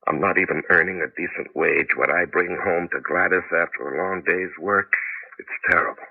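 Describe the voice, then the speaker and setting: An actor in a 1980s radio drama playing a weary, aggrieved out-of-work scientist who drives a taxi, with a husky voice. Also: male voice, deep voice, moderate pace, exaggerated voice. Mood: bitter, weary. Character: bitter out-of-work space scientist driving a taxi, husband. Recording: tinny band-limited old radio broadcast.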